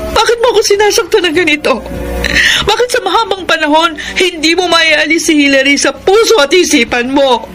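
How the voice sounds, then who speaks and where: An actress with a Filipino accent playing a hurt, weary wife in a radio drama, speaking with tearful emotion. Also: female voice, extremely high pitch, a little fast. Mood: anguished, accusing. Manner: tearful, emotional, pleading delivery. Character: hurt, weary wife ending her loveless marriage. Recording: loud music under the voice.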